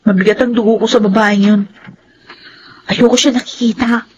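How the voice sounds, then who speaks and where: An actress playing a spoiled, resentful young daughter from a well-off family in a radio drama, sulky and emphatic. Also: female voice, medium pitch, steady tone, moderate pace, dull audio, heavy background noise, natural voice.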